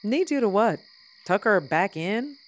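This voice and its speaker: An actress playing a prickly young adult daughter who talks in a sharp, blunt, defensive way in an audio drama. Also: female voice, mid-range voice, expressive, a little slow, close to an everyday voice. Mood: weary. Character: prickly adult daughter, resentful toward her mother.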